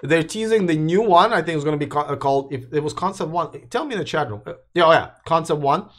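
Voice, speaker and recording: A man hosting a live electric car news stream, chatting casually with viewers while his voice is going hoarse and he keeps coughing. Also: on the high side, lively intonation, fast, a bit bright. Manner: rambling, restarts, addresses live chat.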